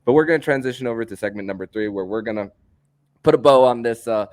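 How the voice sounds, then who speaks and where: A man hosting a sports podcast in a conversational style, halting often and repeating words as he goes. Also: mid-range voice, a little mellow.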